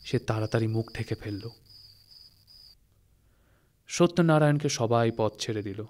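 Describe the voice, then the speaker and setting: A man narrating a literary story in a dramatic, suspenseful way, with expressive phrasing and deliberate pauses. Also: medium pitch. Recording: studio recording with music. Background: music and night insect sounds.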